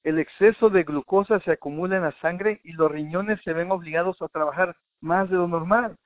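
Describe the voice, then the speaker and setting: High-pitched man on a tinny phone-like line. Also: moderate pace, dry recording. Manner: didactic, addressing the listener directly. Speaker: health product presenter. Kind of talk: informal health talk with numbered points. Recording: narrow, tinny phone-like sound.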